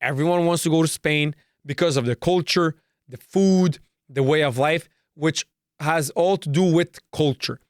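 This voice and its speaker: A man's voice: a little high, normal speed, no room sound, clean studio sound. A man from Canada whose first language is Serbo-Croatian hosts a solo podcast, delivering a profane, repetitive and emphatic rant. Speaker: podcast host.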